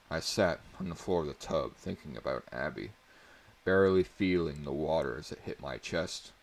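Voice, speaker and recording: Deep, creaky male story narrator. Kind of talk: dramatic first-person story reading.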